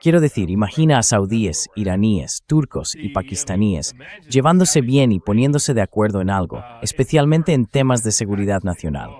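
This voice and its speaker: A low male AI voice delivers political analysis as a translated voice-over. The delivery is that of read-out commentary, with a slightly deep pitch. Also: sing-song, normal speed, a little mellow. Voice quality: synthetic AI voice. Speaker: interview guest analyst, dubbed translation.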